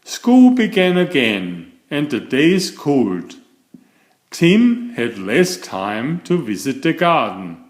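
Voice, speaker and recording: A man reading a children's bedtime story aloud in a deliberate, expressive way. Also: on the high side, roomy sound. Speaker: storyteller, children's author and channel host.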